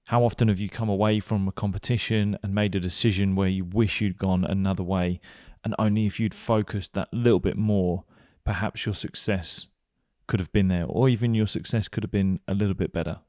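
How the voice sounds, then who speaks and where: A man leading a guided meditation, giving gentle, unhurried instructions with pauses. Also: sits low, fairly even intonation, dry recording, studio quality. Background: music.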